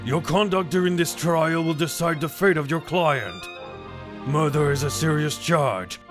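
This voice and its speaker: A man acting a stern, formal courtroom judge in a game fandub, speaking solemnly and deliberately. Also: on the high side, over music, lightly acted. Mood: solemn, authoritative.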